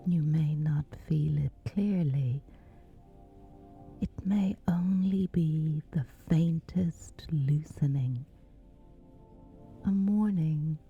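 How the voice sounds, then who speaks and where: A woman speaks a sleep meditation in a hushed, whispery voice with a creaky edge. She goes extremely slowly and gently, leaving long pauses in a soothing, ASMR-like way. Soft music plays quietly behind her. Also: fairly low pitch, dull audio. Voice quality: breathy, whispery.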